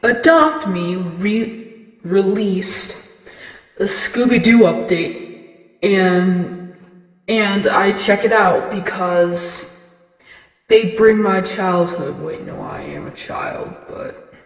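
A child telling a short storybook-style intro in a joking way. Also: male voice, high voice, sing-song, drawn-out words, pauses often, fairly bright tone, heavily acted. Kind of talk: gaming intro narration. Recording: echoey room with long reverb.

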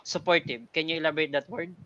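A man's voice: a little high, fairly even intonation, fast talker, bright, forceful tone, no room sound, dull audio. A man hosting a live online orientation, politely asking questions and thanking his guests over a headset. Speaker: host of the orientation.

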